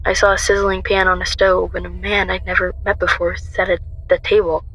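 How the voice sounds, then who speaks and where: A woman's voice: fairly low pitch, level tone, brisk, fairly bright tone, breathy edge, rough recording. A woman playing a defiant, haunted young woman on the run, telling her story earnestly in a radio drama. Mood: uneasy narration. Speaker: lead actor and narrator in an amateur radio drama. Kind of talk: first-person dramatic narration and radio drama acting. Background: music.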